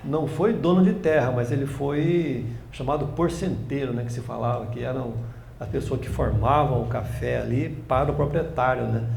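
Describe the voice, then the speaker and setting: A man in his sixties from Brazil, a journalist guest telling family stories in a relaxed interview, halting and restarting often. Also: mid-range voice, gravelly. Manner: rambling, halting storytelling with many restarts. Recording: echoey room, faint background noise.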